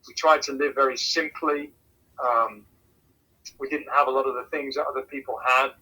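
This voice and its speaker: Bright, husky Englishman. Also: medium pitch, fairly even intonation, rough recording. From England. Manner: measured, repeats words as he thinks. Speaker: missions pastor. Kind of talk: casual phone interview answers.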